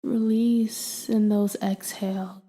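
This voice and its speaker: A woman leading a guided meditation in a soothing, gentle manner, leaving long pauses between invitations. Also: mid-range voice, flat delivery, background noise. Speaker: meditation guide and podcast host. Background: ambient meditation music with humming tones.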